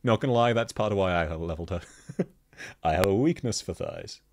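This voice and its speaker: A man's voice: brisk, a little hiss or hum. A man with a thick Australian accent, chatting casually as he reviews game outfits and laughs often. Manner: chatty, laughs often, lively pitch jumps. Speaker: gaming video host. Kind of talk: casual commentary.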